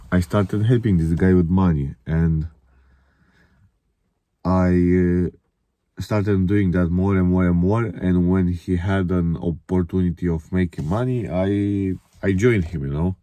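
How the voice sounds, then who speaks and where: Male vlogger, twenty, Romanian accent. Dark, warm tone, dry close sound, candid and introspective. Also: sits low, dry recording. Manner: candid, reflective, swearing. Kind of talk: casual confessional monologue to camera.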